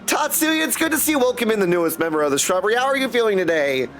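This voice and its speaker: A man's voice: sits high, very animated, rapid-fire, a bit bright. A man chatting casually and in a friendly way with viewers as he streams a video game. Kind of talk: casual livestream chat over gameplay. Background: music.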